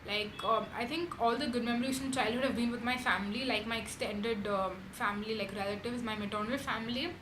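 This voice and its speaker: Young Indian-accented woman vlogger, slightly high voice, chatty with filler words, recorded in a roomy space with steady background noise. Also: brisk, few pauses. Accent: Indian English. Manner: chatty, frequent "um" and "like". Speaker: beauty vlogger. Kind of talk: casual chat answering tag questions. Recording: echoey room with background noise.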